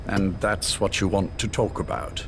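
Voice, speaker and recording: A man playing a cold, visionary older spymaster in a dramatic video game, speaking in a measured, formal, lecturing way. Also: sits low, fairly even intonation, creaky, background noise. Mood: guarded, brisk. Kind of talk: scripted dramatic game dialogue.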